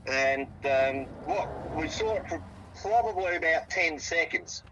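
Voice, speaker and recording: An Australian man from South Australia, speaking over a phone on speaker and describing what he saw in a halting way, often repeating his words. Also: fairly high pitch, lively intonation, fairly bright tone, dull audio, slight background noise. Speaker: interviewee, eyewitness. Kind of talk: phone interview.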